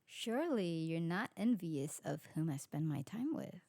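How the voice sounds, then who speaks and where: A woman playing an elegant, sociable vampire aristocrat in an ASMR roleplay, refined and formal, with a soft husky voice. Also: on the low side, big pitch swings, measured pace, dry recording, clean studio sound, full character voice. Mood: poised, sociable. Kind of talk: scripted ASMR roleplay acting.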